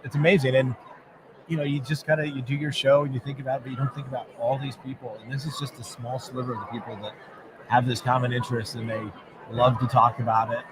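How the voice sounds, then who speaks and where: A man's voice: mid-range voice, flat delivery, fast, background noise. A man who is a lawyer and show host, chatting casually and earnestly at a convention booth, often restarting his sentences. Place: busy convention floor.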